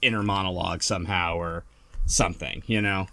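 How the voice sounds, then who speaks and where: A man's voice: low-pitched, fairly even intonation, fairly quick, gravelly, pushed and bright, no echo. A man co-hosting a podcast in casual, conversational talk. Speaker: podcast co-host. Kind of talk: casual chat.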